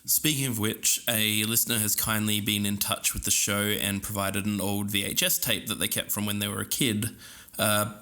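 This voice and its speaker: A man's voice: lowish voice, flat delivery, moderate pace, pushed and bright. A man playing an eager podcast co-host who investigates a mystery he believes in. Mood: informative, intrigued.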